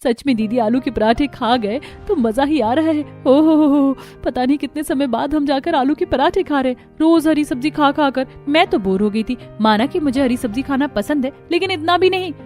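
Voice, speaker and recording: A young daughter-in-law from a family cartoon , played by a woman. She is chatty, sneaky and conspiratorial, in a fairly high voice. Light music runs behind her. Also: moderate pace, hardly any pauses, darkish tone, lightly acted. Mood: gleeful, conspiratorial. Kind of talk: Hindi family cartoon dialogue.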